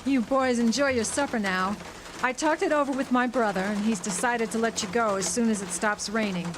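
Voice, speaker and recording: A woman's voice: a little high, fairly quick, lightly acted. An actress playing a tough, jaded small-town diner woman, dry and sardonic, in a noir radio drama. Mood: matter-of-fact. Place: outdoor amphitheater stage. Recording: faintly noisy outdoor live recording.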